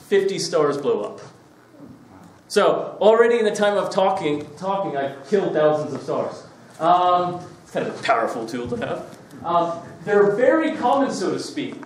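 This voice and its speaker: A man with an Australian accent giving a joking, chatty public lecture, with many fillers. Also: fairly high pitch. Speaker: guest lecturer. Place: lecture hall. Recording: echoey hall, mic from far off.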